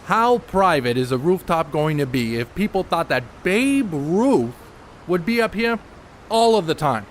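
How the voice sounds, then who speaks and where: An actor playing a brainy, secretive ballplayer who explains things with dry wit in a radio play. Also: male voice, a little high, very animated, unhurried, a little hiss or hum. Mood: guarded. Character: brainy, secretive ballplayer, adult man, dryly matter-of-fact.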